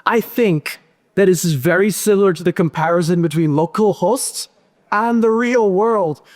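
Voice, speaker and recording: Upbeat guy doing a tech talk on stage, fairly high voice, joking with the crowd, big hall echo. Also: moderate pace. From Pakistan and Switzerland. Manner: lively, joking with the audience. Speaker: conference speaker. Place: large conference hall stage. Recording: hall reverb.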